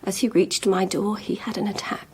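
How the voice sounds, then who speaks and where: An actress playing a proud, lovelorn schoolmistress in a period radio drama, speaking frankly and deliberately with emotional intensity. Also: female voice, mid-range voice, flat delivery, darkish tone, a little hiss or hum, close to an everyday voice. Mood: confessing. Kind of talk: BBC radio drama acting.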